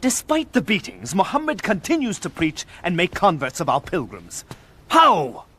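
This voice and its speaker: Scheming Arabian chief, animated film, high swooping voice, forceful, theatrical. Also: male voice, normal speed, soft background music, heavily acted. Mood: indignant, scheming.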